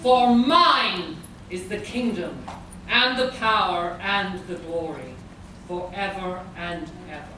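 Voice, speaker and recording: A woman playing a grandiose, merciless gargoyle sorceress villain in a live radio play, speaking in a commanding, deliberate way. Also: fairly low pitch. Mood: solemn, grandiose. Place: live convention stage reading in a large room. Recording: echoey noisy hall, recorded from far off.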